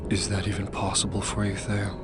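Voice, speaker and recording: Low, flat-toned, brooding man played by an actor. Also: brisk, loud music behind the voice, lightly acted. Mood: bitter, resigned. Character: distrustful, brooding, self-sacrificing human man. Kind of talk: sci-fi audio drama acting.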